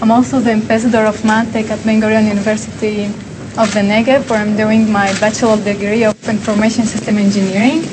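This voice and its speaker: A woman's voice: mid-range voice, little pitch movement, quick pace. A woman in her twenties, a student from Israel, politely introducing herself in a large exhibition hall. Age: 20s. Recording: noisy background.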